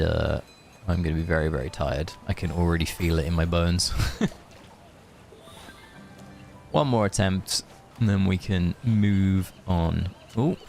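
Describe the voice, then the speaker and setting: Man, low voice, casual game streamer, rambling friendly asides, game music underneath. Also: a little mellow. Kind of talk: casual live gaming stream chat. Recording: music behind the voice.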